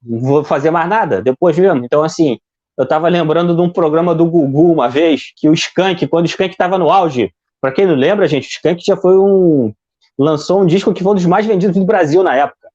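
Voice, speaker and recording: A man's voice: on the high side, fairly quick, darkish tone. A Brazilian man giving heated, rambling political commentary on a live stream, often repeating words as he searches for them. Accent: Brazilian Portuguese. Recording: dull lo-fi stream audio.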